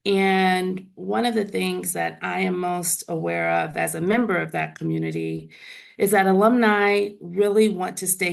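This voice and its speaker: A woman in her forties with a raspy voice, hoarse from laryngitis, answering interview questions in a measured way over a video call. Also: lowish voice. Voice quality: raspy, gravelly. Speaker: university trustee guest.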